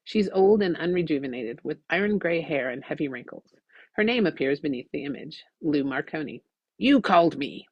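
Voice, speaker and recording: Woman reading a story aloud, expressive, lots of pitch movement, dry close recording. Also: fairly quick, slightly rough, no room sound, lo-fi sound. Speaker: author reading own audiobook. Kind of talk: expressive scripted story narration.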